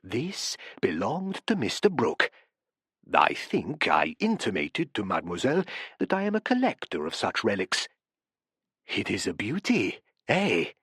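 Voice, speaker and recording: A man reading an audiobook, voicing a courteous, probing male professor who speaks softly and gently presses with questions. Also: fairly low pitch, huge pitch swings, unhurried, slightly rough, dry recording, clean studio sound, pitched below the performer's own voice, exaggerated voice. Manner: soft, interested, courteous.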